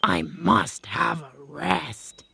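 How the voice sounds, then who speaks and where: A woman voicing a big, timid male lion in a storybook reading, slow and tired. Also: extremely low pitch, sing-song, vocal fry, sharp tone, breathy edge, voice pushed down, full character voice. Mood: weary.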